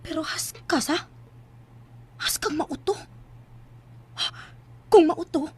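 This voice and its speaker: A woman's voice: very high voice, slow, a little mellow, dry recording. A Filipino-accented actress playing a feisty young woman who gripes and mutters to herself in a radio drama. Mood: doubtful.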